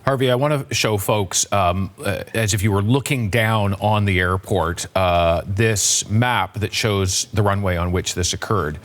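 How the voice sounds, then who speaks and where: A man anchoring a broadcast news interview, putting questions in measured, emphatic phrases. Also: fairly low pitch.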